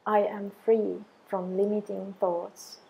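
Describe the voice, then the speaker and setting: A woman guiding an affirmation meditation in a soothing, measured way, with long pauses between deliberate phrases. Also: mid-range voice, flat delivery, gravelly, warm tone, slightly rough, low-quality recording. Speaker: meditation guide.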